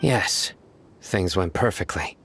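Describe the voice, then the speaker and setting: Low, stern commanding general. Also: male voice, expressive, heavy background noise, exaggerated voice. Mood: calm, serious. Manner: curt, authoritative, measured. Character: stern, commanding young male law-enforcement general. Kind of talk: video game voice acting.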